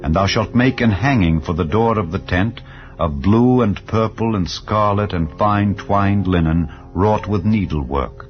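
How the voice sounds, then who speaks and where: A man reading scripture as a narrator in a solemn, measured, formal and declamatory way. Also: lowish voice. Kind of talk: solemn dramatized Bible reading. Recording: slightly dull audio with background music. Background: soft background music.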